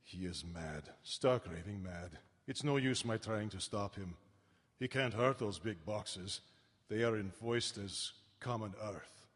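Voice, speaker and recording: An actor playing a weary, grave sea captain in a live radio play, speaking in solemn, clipped phrases with a husky voice. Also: male voice, fairly low pitch, unhurried, fairly bright tone, a little hiss or hum. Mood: alarmed. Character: weary, grave sea captain reading his ship's log. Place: town hall stage. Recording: echoey live hall.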